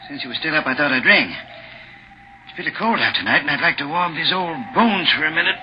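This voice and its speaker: Folksy old radio policeman with lively intonation played by an actor. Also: fairly high pitch, fast, lightly acted. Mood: affable. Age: older. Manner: folksy, polite, questioning. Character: friendly, inquisitive old beat policeman. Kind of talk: 1940s radio drama acting. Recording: muffled 1940s radio, faint hiss.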